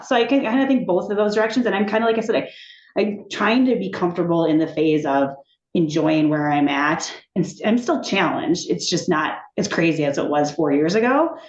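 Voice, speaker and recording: Woman guest, lively and chatty, laughs easily, slightly low voice; echoey, muffled recording. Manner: animated, chatty, laughing, many restarts. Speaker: TV executive, podcast guest. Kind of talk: casual interview chat. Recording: echoey room, dull sound.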